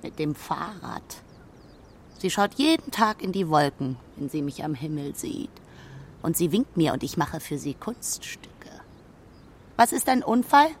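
A woman's voice: sits low, big pitch swings, unhurried, a little hiss or hum, exaggerated voice. A woman playing a haughty, aloof female hawk in a children's radio play, speaking in a brusque, proud way. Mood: proud, boasting.

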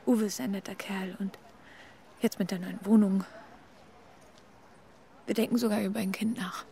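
Former sweetheart actress: young, resigned, intimate, noticeably creaky mid voice, radio drama. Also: female voice, mid-range voice, steady tone, normal speed, dark tone, a little hiss or hum, lightly acted.

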